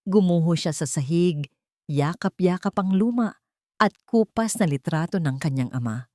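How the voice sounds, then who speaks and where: Woman storyteller, lowish pitch, dry close sound, measured dramatic phrasing. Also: expressive, darkish tone, no room sound. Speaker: storyteller, narrator. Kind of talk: scripted dramatic story narration.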